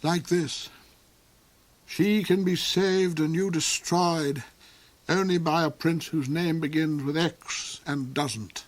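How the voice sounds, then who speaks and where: A man voicing a cold, cruel duke in a storybook reading, with shrill, theatrical outbursts. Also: fairly even intonation, slow pace. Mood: brooding.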